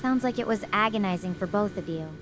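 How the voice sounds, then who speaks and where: Woman voicing a gentle stranded scientist. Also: mid-range voice, fast talker, background noise, natural voice. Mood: sympathetic. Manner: soft, reflective, with sympathetic questions. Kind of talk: improvised fan audio drama. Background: music.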